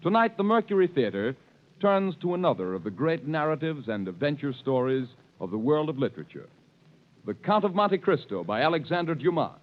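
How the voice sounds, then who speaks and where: Formal 1930s radio announcer. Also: male voice, a little high, big pitch swings, brisk. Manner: formal, stately. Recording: dull 1930s radio recording.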